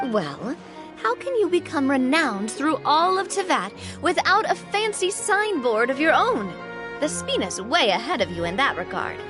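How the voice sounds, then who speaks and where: A woman's voice: high-pitched, sing-song, moderate pace, music in the background. A woman playing a sociable, take-charge young gang leader in a video game, breezy and friendly.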